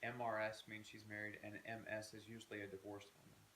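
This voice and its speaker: Jokey male co-host, low gravelly voice, echoey. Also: completely flat delivery, brisk. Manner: enthusiastic, jokey. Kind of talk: casual movie review chat. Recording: echoey room with faint hiss.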